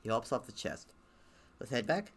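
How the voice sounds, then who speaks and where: A man's voice: medium pitch, sing-song, moderate pace, creaky, a bit bright, husky. A man reading a game aloud in a casual let's-play style, breaking off for self-deprecating, sweary asides.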